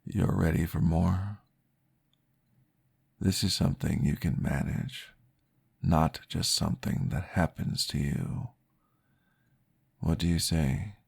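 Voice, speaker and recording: A man voicing a calm, patient male vampire therapist, soft-spoken and reassuring, often dropping into a whisper in an ASMR roleplay. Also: low voice, slow pace, long pauses, heavily acted. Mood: soothing whisper. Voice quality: gravelly, husky. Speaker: therapist guiding a listener.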